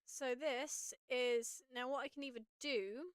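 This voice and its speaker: A woman with a slightly raspy voice, casually walking viewers through audio editing on a live stream and thinking aloud as she works. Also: high voice, fairly even intonation, a little slow, no room sound, clean studio sound.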